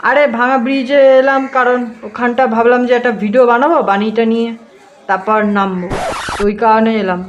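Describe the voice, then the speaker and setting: A woman's voice: fairly high pitch, fairly even intonation, brisk. A woman making a prank phone call, teasing and laughing while she fibs about where she is. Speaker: prank caller. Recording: phone call with clear background noise.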